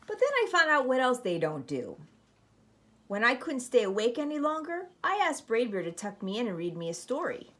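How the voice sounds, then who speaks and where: Woman, children's storyteller, expressive read-aloud, very animated intonation, emphatic phrasing. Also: fairly high pitch, moderate pace. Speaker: storyteller reading to schoolchildren.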